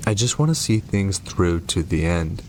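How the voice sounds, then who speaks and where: A man's voice: deep voice, animated, moderate pace, darkish tone, slight background noise. A man reading game dialogue as a cold, matter-of-fact murderous witch and mother. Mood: cold, resigned. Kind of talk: read-aloud game dialogue.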